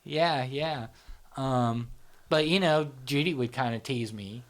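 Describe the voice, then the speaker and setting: A man's voice: medium pitch, takes it slowly. A middle-aged man hosting a casual talk, explaining at length with many fillers like "right?" and "you know". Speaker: show founder and host.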